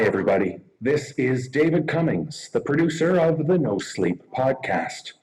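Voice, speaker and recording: Man, mid-pitched, podcast producer reading a formal, measured acceptance speech. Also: darkish tone, low-quality recording.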